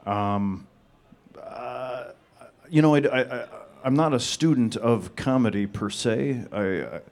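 A man answering audience questions at a panel in a flat, deadpan monotone. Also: mid-range voice, slow pace. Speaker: panel guest.

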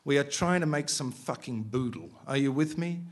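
A man's voice: medium pitch, moderate pace, voice pushed up, close to an everyday voice. A man doing a boozy, foul-mouthed swaggering rogue. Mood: boastful. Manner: swaggering, profane, gleefully boastful. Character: crude, boozy, foul-mouthed male salesman.

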